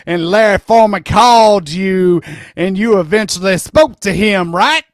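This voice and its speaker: A man's voice: sits high, unhurried, no echo, clean studio sound. A man playing a lawyer questioning a witness in a dramatic reading of a deposition, probing and rephrasing his questions.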